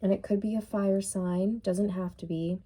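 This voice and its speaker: A woman's voice: mid-range voice, steady tone, mellow, husky. A woman doing a casual tarot reading who muses aloud with many fillers, saying she is losing her voice. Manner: musing, unhurried, many fillers. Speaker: tarot reader.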